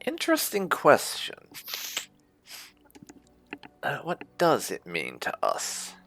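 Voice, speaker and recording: A man's voice: fairly high pitch, wildly swooping pitch, slow, creaky, fairly bright tone. A man giving casual in-character roleplay commentary on a video game, musing wryly with muttered asides. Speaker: gaming channel host.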